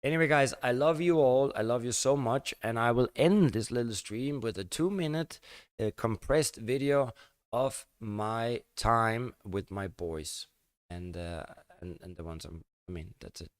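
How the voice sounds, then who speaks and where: An older man from Denmark, rambling and halting through a casual live-stream chat with his viewers. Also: medium pitch, clear, resonant tone, no echo, clean studio sound. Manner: halting and rambling, many fillers and restarts.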